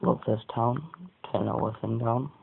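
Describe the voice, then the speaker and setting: Croaky man, muffled phone-like sound. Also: steady tone, moderate pace. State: croaky voice today. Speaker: amateur phone reviewer. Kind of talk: casual off-the-cuff product review. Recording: muffled phone-like sound, faint rumbling noise.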